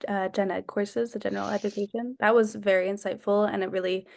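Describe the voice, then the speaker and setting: A woman's voice: medium pitch, level tone, brisk, creaky. A young woman in her twenties, an airline agent on a panel, chatting with lots of ums and restarts. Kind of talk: casual panel talk.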